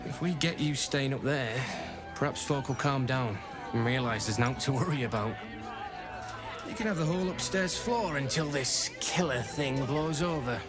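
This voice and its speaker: An actor with a British accent playing a chatty, cheerfully morbid, opportunistic tavern keeper in a video game. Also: male voice, mid-range voice, moderate pace, close to an everyday voice. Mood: wry, chatty. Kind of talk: video game character acting. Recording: music and pub crowd behind.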